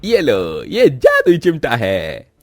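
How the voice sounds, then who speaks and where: A man's voice: mid-range voice, huge pitch swings, takes it slowly, no echo, studio quality, faint music behind. A man voicing a gleeful, greedy magical forest dwarf in a cartoon story, with a cackling, exuberant manner. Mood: gleeful, generous. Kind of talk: animated cartoon story acting.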